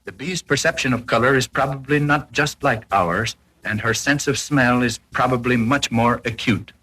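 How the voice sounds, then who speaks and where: Old 1940s school film narrator guy, kinda husky, formal and teachy, scripted, vintage soundtrack sound. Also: medium pitch, normal speed, bright, forceful tone, rough recording. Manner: formal, didactic, carefully explanatory.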